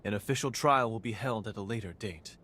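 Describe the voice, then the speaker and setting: A man voicing a measured judicial magistrate in a video game, formal, stern and diplomatic. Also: on the low side, fairly bright tone, slight background noise, lightly acted. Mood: firm, stern. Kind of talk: scripted video game cutscene acting.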